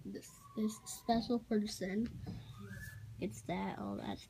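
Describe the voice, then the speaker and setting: A child giving a casual, halting show-and-tell narration while filming on a handheld phone in a room at home. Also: mid-range voice, sing-song, creaky, dark tone. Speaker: amateur toy video maker. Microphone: handheld phone behind the camera. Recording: noisy handheld home recording with clicks.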